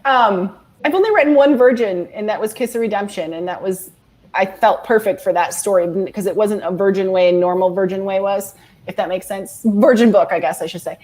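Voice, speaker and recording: A woman's voice: mid-range voice, fairly quick, a little mellow. A woman in her forties, a guest author chatting casually, rambling with many restarts and fillers. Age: 40s. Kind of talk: casual video-call chat.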